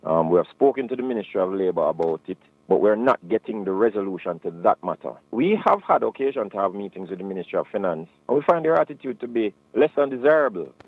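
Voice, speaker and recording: A man's voice: on the low side, big pitch swings, quick pace, no room sound, phone-quality sound. A Jamaican man speaking firmly and formally as a union president in an interview. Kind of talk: formal spoken interview statement.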